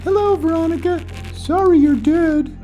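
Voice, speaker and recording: Dude doing a super high falsetto girly doll voice, sugary sweet, comedy podcast. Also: normal speed, warm tone, faint music behind. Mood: sweet, cooing. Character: sugary female blow-up doll girlfriend in squeaky falsetto.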